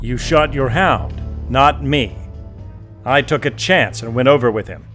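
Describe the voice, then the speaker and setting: An actor playing a hunted mercenary hero in an audio drama, grim, determined and protective, speaking in terse dramatic lines. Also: male voice, medium pitch, a little slow, a bit bright, soft background music, lightly acted. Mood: resolute.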